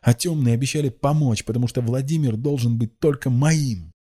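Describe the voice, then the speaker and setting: An impassioned young girl of an audiobook tale, acted out by a man, lovesick, jealous and defiant. The pitch is pushed well up and the tone is soft-edged and dark. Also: fairly quick, breathy edge, lightly acted. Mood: desperate, defiant. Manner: shrill, impassioned exclamations.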